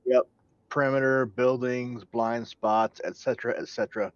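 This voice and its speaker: A man's voice: medium pitch, fairly even intonation, moderate pace, smooth, dry recording. A man speaking casually in character as a player in an online roleplaying game. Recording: dull, dry online voice chat.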